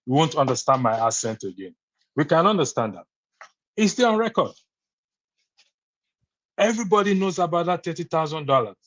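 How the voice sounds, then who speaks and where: Insistent Nigerian man, slightly high voice. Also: very animated, moderate pace, long pauses. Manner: insistent, repeats his point firmly. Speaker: caller on a live broadcast. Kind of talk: heated call-in debate.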